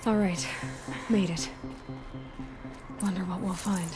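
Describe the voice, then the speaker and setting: An actress playing a young, curious and determined explorer in a video game, musing to herself in a hushed, wondering way. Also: female voice, lowish voice, fairly even intonation, takes it slowly, over music. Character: young female explorer, curious, determined, puzzled by ancient ruins. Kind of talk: video game cutscene acting.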